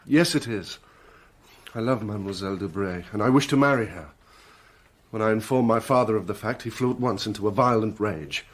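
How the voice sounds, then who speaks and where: A man acts a young gentleman in a radio mystery, his voice fairly low. He is proud and guarded, with clipped, formal phrasing and a temper underneath. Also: a little fast, close to an everyday voice. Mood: defiant. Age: young adult. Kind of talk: radio drama acting.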